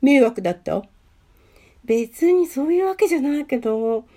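A woman's voice: high voice, very animated, normal speed, mellow, full character voice. A woman playing a nonchalant, teasing teenage schoolgirl who plays cupid in an amateur radio drama.

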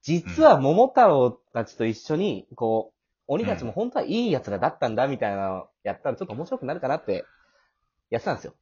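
A man's voice: medium pitch, sing-song, fast, a little mellow, husky. A man hosting a casual backstage interview chat in a joking, teasing manner. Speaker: host and creator of a radio drama, interviewing cast. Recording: muffled, lo-fi audio.

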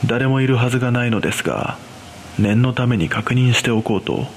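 A man playing an uneasy cleaner, narrating a scripted first-person horror story in a measured, hushed way. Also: medium pitch, a little hiss or hum, natural voice. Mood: grave, uneasy. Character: uneasy male trauma-scene cleaner recounting an eerie experience.

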